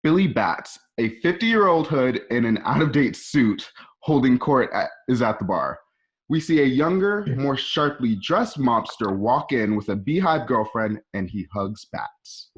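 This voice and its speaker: A man's voice: mid-range voice, animated, a little slow, lo-fi sound. A man narrating screenplay scene directions like a storyteller, recorded at home. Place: at home, remote ensemble session.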